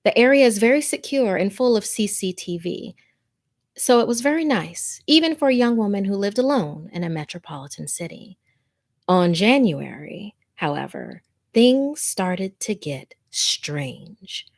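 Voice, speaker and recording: A woman's voice: medium pitch, very animated, unhurried. A woman, an informal and chatty host talking to viewers and reading out their stories. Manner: chatty, informal, easily amused. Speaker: host of a scary story show. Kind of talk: casual livestream chat and reading listener messages and stories aloud.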